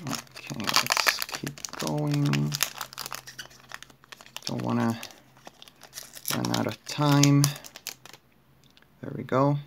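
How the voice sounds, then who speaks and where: A man's voice: on the high side, fairly even intonation, very slow, creaky, pauses often, heavy background noise. A young man from Chile with a Chilean Spanish accent, casually narrating a card pack opening with many fillers and restarts. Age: young adult. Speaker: card collector opening packs. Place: indoors at a table.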